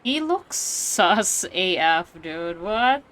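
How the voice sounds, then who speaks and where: A woman streamer giving casual, slangy, expressive reactions to a game, exclaiming often, with game music behind her. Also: on the low side, extremely slow, slight background noise.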